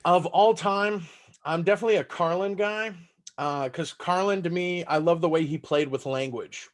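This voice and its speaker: A man's voice: a little high, moderate pace. A man in his thirties from Texas, whose first language is German, talking in a rambling, casual way as a comedian guest on a podcast, swearing freely.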